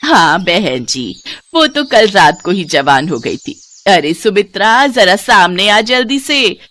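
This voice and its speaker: A woman's voice: mid-range voice, sing-song, takes it slowly, fairly bright tone, slight background noise. A woman voicing a frail, wheedling old woman who is really a sly con artist, in a storybook tale, drawling and coaxing. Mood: assuring.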